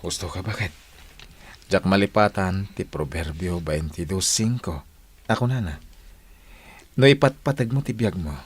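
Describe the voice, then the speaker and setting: A humble Ilocano family man, speaks in a low voice. He is earnest and devout, with the measured delivery of radio drama. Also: husky.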